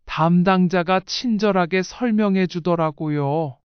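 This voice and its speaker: Woman narrating a life story audiobook, clear smooth voice, close dry slightly dull recording. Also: on the low side, a little slow, no echo.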